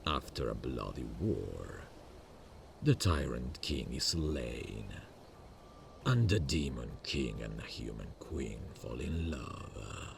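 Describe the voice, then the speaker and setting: Man voicing a very deep, gravelly demon king. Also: very animated, background noise, heavily acted. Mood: amused, intrigued. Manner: slow, courtly, formal and deliberate. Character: imposing, amused male demon king, courting a human queen. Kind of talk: ASMR romantic fantasy audio roleplay.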